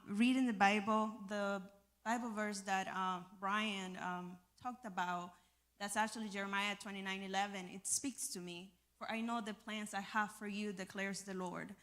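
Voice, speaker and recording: A young woman speaks to a gathered group in a mid-range voice, sharing a personal testimony. Her delivery is informal and earnest, with a halting flow as she finds her words. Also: medium pitch, a bit bright. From El Salvador. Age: young adult. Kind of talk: personal testimony to a live audience.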